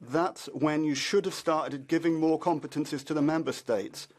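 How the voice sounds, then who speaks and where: Halting man interpreting a political speech. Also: fairly high pitch. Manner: halting, with frequent "uh" fillers, then quickening bursts. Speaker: simultaneous interpreter.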